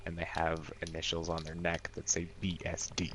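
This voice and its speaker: A man's voice: sits low, completely flat delivery, normal speed, a bit bright. A man playing a tabletop game, chatting casually in a blunt, sweary way, with some background noise.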